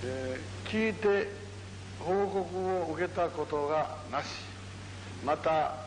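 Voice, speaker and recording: A man gives formal testimony in halting phrases in a courtroom, on an old 1940s recording. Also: on the high side, big-room sound, background noise. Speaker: witness testifying.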